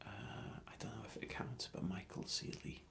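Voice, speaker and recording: A man whispering an ASMR question-and-answer ramble in a soft, hesitant and thoughtful way. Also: fairly low pitch, steady tone, moderate pace, gravelly.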